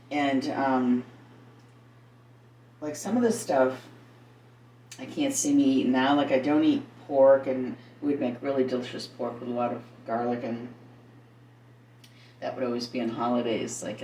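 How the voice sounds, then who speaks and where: A woman's voice: a bass voice, warm tone. A middle-aged woman chatting casually and thinking aloud as she demonstrates home cooking, in a rambling manner. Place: home kitchen, indoors. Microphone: camera set a little away. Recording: roomy, distant mic, faint noise, kitchen clatter.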